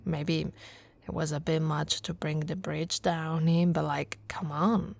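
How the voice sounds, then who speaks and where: Slow, low-voiced orc warrior wife played by a woman. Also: gravelly, no echo, heavily acted. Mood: wry, teasing. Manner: playful banter, soft laughs and murmurs. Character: tough, teasing female orc warrior, affectionate wife. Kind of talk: ASMR roleplay.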